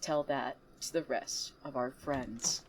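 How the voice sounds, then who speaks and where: Smug, needling student seer for audio drama, played by a woman; low register, theatrical, cryptic. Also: steady tone, slow pace, slight background noise, poor audio. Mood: taunting. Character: taunting, smug, cryptic student who claims visions.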